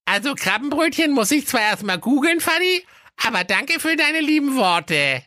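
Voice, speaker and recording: A man's voice: extremely high pitch, very animated, moderate pace, pushed and bright, dry recording, studio quality, pitched above the performer's own voice, exaggerated voice. A man voicing a dim, flustered young woman in a comic fairy tale, whining and muddled. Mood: dopey.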